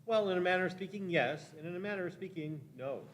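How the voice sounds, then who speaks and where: A man's voice: sits high, quick pace, a little hiss or hum, close to an everyday voice. A man playing a shifty, cowardly, ingratiating time traveler in a radio play, wheedling and evasive. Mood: nervous, eager. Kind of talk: live radio-play acting.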